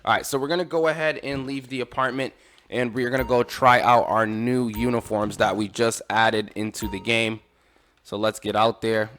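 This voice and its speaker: A man's voice: mid-range voice, fairly even intonation, moderate pace, fairly bright tone. A man casually walking viewers through game mods in an informal, instructive way, addressing them as guys. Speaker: gaming tutorial creator.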